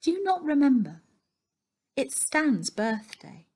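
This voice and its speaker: A woman's voice: high-pitched, big pitch swings, slow pace, a little mellow, pitched above the performer's own voice, heavily acted. Woman voicing a caring aunt, storybook character, imploring, insistent, standing up to her husband. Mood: reproachful, kind.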